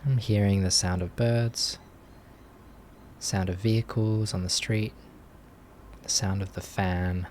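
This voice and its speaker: Slow, low-voiced man guiding meditation. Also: steady tone, slight background noise. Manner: gentle, unhurried, with long pauses between short phrases. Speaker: meditation teacher. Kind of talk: guided meditation. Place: live online group session.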